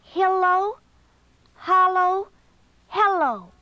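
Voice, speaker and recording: A man's voice: very high-pitched, fairly quick, fairly bright tone, no room sound, muffled recording, voice pushed up. Man doing an earnest young girl.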